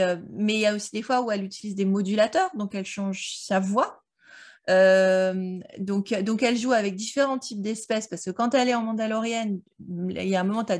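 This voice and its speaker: A woman's voice: medium pitch, darkish tone. A woman co-hosting a book club podcast, talking casually and colloquially, telling stories with rambling asides. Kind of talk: casual discussion of an audio drama.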